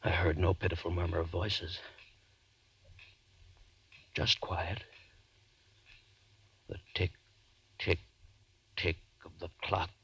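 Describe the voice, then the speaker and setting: An actor playing a haunted, guilt-ridden man unravelling into terror, with intense, halting, melodramatic delivery in a 1940s radio horror play. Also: low voice, slightly rough, heavily acted, rough recording. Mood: hushed dread. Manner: intense, halting, melodramatic, from hushed dread to shouting. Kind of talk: 1940s radio horror acting, first-person narration. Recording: vintage 1940s radio recording.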